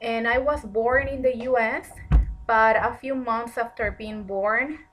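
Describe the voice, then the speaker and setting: A woman's voice: a little high, fairly even intonation, fairly bright tone, a little hiss or hum, low-quality recording. Earnest thirty-year-old woman. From Mexico. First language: Spanish. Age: 30s. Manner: earnest, measured, with frequent ums. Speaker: graduate student. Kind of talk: reading a prepared self-introduction.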